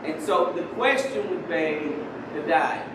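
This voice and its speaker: Guy preaching softly in a big echoey church, high voice, kinda far from the mic, bit of noise. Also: expressive, a little slow. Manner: soft-spoken preaching, holds his voice down on the mic. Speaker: lay preacher. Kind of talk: sermon. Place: church sanctuary. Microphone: microphone.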